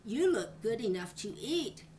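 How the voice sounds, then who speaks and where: A woman's voice: on the high side, very animated, unhurried, darkish tone, distant mic, slight background noise. An older woman voicing a sly, dismissive fox in a storybook, talking in a coaxing way. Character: sly, dismissive male fox offering help.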